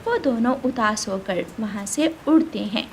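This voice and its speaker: A woman's voice: on the high side, lively intonation, a little slow, a little hiss or hum. A woman narrator reading a scripted moral story for a children's cartoon.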